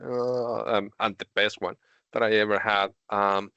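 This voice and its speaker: A man's voice: fairly low pitch, little pitch movement, measured pace, pushed and bright, clean tone, no echo, dull audio. A middle-aged man from Argentina, a podcast guest who tells stories in casual chat and laughs often. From Argentina, Patagonia. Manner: laughs often while telling stories, many fillers.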